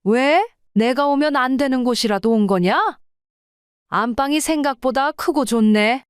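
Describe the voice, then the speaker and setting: Elderly mother-in-law, female actor: haughty, entitled, presumptuous; high, crisp voice for audio drama. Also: unhurried, dry recording, studio quality.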